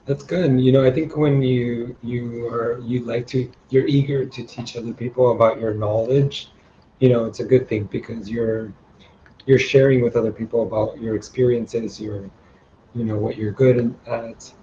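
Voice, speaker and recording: A man working as an online English tutor, talking in a relaxed, friendly, chatty way with plenty of "um" and "you know" over a video call. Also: medium pitch, normal speed, highs cut off, a little hiss or hum. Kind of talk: casual conversation.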